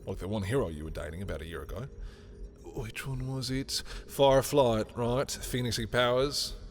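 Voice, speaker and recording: A sardonic male villain, acted by a man for an audio roleplay, petty and theatrical. He speaks in sarcastic taunts to a familiar rival at a medium pitch. Faint music plays behind the voice. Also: normal speed, close to an everyday voice. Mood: gossipy, teasing. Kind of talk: scripted audio roleplay acting.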